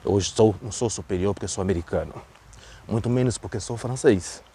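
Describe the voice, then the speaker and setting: Brazilian man, portuguese accent from brazil, low-pitched, earnest vlog monologue, repeats points for emphasis. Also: fairly quick, dark tone, a little hiss or hum. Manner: earnest, repetitive, emphatic preaching. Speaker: vlogger. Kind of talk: opinion monologue to camera.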